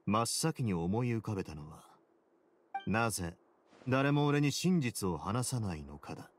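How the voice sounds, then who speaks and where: A man playing a composed, shrewd prison duke in an anime game, explaining things in a calm, measured, confident way. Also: fairly low pitch, a little hiss or hum. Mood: calm, reasoning.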